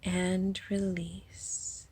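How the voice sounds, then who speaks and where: A woman leading a guided hypnosis meditation in a soothing, unhurried manner, with a breathy, soft-edged voice and long pauses between phrases. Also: fairly low pitch, level tone, dry recording.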